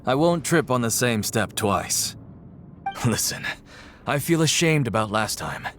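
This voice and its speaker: Animated, earnest young guy. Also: mid-range voice, unhurried, soft background music. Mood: sheepish, grateful. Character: friendly, earnest young man, eager and easily embarrassed. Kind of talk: video game voice acting.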